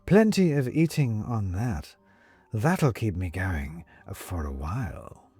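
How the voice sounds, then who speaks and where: A man voicing a sly, predatory house cat that taunts its prey in a slow, condescending drawl. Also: on the low side, very animated, mellow, slightly rough, soft background music, exaggerated voice. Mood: taunting.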